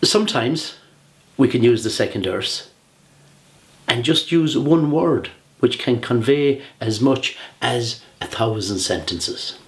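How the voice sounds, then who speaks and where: A man in his sixties with an Irish accent, giving a humorous prepared speech in a measured, theatrical way with deliberate pauses. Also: mid-range voice. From Ireland. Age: 60s. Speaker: humorous public speaker.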